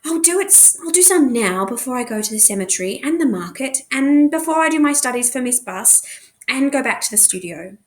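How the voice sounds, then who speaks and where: A woman's voice: high-pitched, expressive, a little fast, voice pushed up. A woman voicing an impatient, restless fourteen-year-old Victorian girl in a storybook, protesting as she talks. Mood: reluctant.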